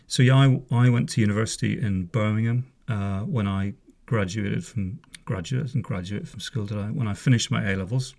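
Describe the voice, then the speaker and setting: Wry, gravelly middle-aged man chatting. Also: lowish voice, normal speed, a little mellow. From Northern Ireland. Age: 50s. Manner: chatty, wry, wanders off on asides. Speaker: podcast host, former police officer. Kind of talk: casual spoken monologue. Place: home room.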